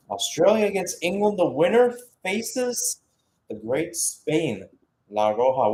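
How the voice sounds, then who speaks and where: Male sports commentator for live football radio call; mid-pitched, halting in places, self-correcting, surging with the play. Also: dark tone, low-quality recording.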